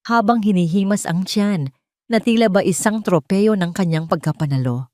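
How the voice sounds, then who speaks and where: A woman's voice: mid-range voice, normal speed, a little mellow, dry recording, clean studio sound. A woman narrator reading a scripted dramatic story.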